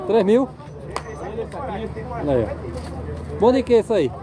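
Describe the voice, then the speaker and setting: A man's voice: high voice, very animated, measured pace. A man with a Northeastern Brazilian accent, vlogging from an outdoor horse fair, chatting with sellers and repeating their prices back. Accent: Northeastern Brazilian Portuguese. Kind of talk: casual on-the-spot interviewing. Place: busy outdoor livestock fair. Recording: loud crowd outdoors.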